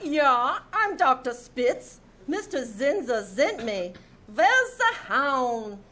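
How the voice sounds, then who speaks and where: A woman's voice: sits high, very animated, slow pace, bright, forceful tone, heavily acted. A woman acting a brash, folksy, blunt female dog doctor in an old-time radio comedy. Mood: brisk.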